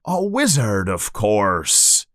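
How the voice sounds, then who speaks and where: A man voicing a booming giant gamekeeper in a storybook, hot-tempered yet kindly, with an emphatic, exclaiming way of talking. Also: huge pitch swings, drawn-out words, fairly bright tone, no echo, studio quality, full character voice. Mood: proud, warm.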